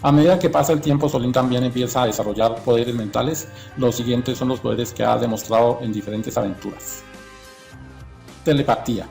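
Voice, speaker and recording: A man's voice: mid-range voice, brisk, gravelly, a little mellow, soft background music. A man narrating from a script in an informational documentary style.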